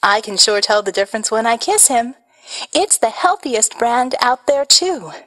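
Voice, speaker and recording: A woman's voice: a little high, animated, moderate pace, fairly bright tone. A woman playing a glamorous female movie star appearing on an old-time radio show. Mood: gushing. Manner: polished, glamorous. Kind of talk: 1940s radio acting.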